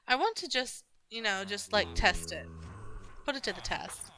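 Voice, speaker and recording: A woman's voice: mid-range voice, expressive, moderate pace, pushed and bright. A young woman chatting casually and rambling while she plays a game on stream, with many restarts and fillers. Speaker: gaming streamer.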